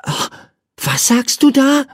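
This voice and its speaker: A storyteller voicing a curious, excitable little boy who speaks in an eager, questioning way in a bedtime tale. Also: high voice, slow pace, dry recording, clean studio sound, pitched above the performer's own voice, heavily acted.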